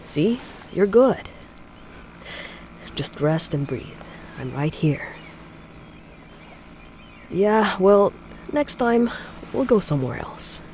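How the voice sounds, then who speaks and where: A man acting a caring young boyfriend in an audio roleplay, soothing his girlfriend in a gentle, often hushed and breathy way. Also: high voice, sing-song, slow pace, long pauses, slight background noise, heavily acted. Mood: relieved, tender.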